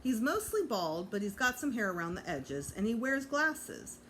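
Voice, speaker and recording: A woman's voice: on the high side, very animated, normal speed, a bit bright, low-quality recording. A woman voicing an eager, blunt, boastful little kindergarten boy from a children's storybook, over background noise.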